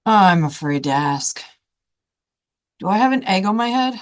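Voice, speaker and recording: A fussy, worried male elephant from a picture book, played by a woman. He frets and questions everything in disbelief. His pitch swings widely, dipping and leaping as he complains. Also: expressive, measured pace, lightly acted. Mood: fretful, dismayed. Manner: fretful, incredulous questioning.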